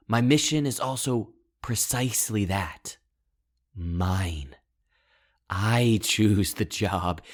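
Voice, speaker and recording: A man voicing an obsessive, self-absorbed young outcast in a storybook monologue, deliberate and theatrical, with intense, hushed turns. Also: on the low side. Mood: grandiose, brooding. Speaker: author reading own short story. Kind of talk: first-person dramatic monologue, scripted narration.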